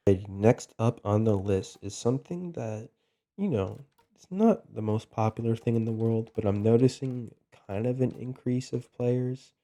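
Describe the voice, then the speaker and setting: A young man getting over a cold, talking through game tips in a relaxed way with lots of ums and likes. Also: on the low side. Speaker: gaming video host. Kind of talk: casual gameplay commentary.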